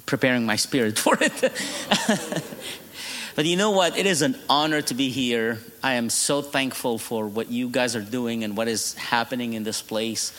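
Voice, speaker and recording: A man's voice: mid-range voice, fairly bright tone. A middle-aged man with an Egyptian accent, Arabic his first language, speaks from a church pulpit as a guest preacher. He tells his story with humor and often laughs at his own jokes. His mid-range voice rings out into a long, echoing hall. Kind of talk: sermon testimony.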